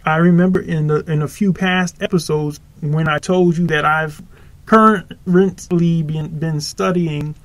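A man's voice: fairly high pitch, steady tone, unhurried, no echo, rough recording. Earnest rambling male podcast preacher. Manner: rambling, self-correcting, earnest. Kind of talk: informal podcast sermon. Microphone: home podcast microphone.

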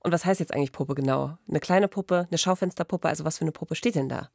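A woman's voice: low-pitched, fast, vocal fry, a little mellow, no room sound. A woman in her thirties co-hosting a conversational true-crime podcast on a close studio mic. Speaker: podcast co-host. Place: small radio studio. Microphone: close studio mic on boom arm.

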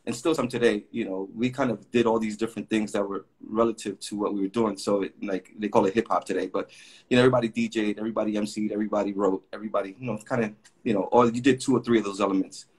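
A man's voice: fairly low pitch, fairly even intonation, fast, a little mellow, husky. A middle-aged man from Puerto Rico, chatting on a video call and telling long rambling stories full of fillers. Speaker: graffiti artist guest. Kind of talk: casual storytelling interview. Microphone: video call webcam.

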